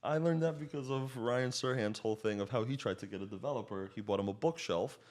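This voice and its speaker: A man with an average-pitched voice talks as a podcast guest. He has a slight Slovak accent from his first language. His storytelling is casual and rambling, with tangents and self-interruptions. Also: quick pace, darkish tone. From child of Slovak parents. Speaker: podcast guest, real estate agent.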